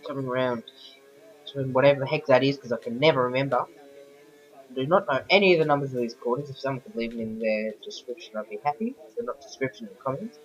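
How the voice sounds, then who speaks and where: A man with a croaky voice from a sore throat, giving rambling, self-correcting casual commentary over racing game footage. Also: medium pitch, quick pace. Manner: rambling, keeps correcting himself. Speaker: gameplay commentator. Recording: dull lo-fi voice-over with game music behind.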